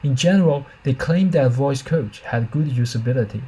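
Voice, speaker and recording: Formal male research narrator, muted husky voice. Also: mid-range voice, moderate pace, warm tone, poor audio. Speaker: researcher presenting a paper. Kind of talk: scripted academic narration.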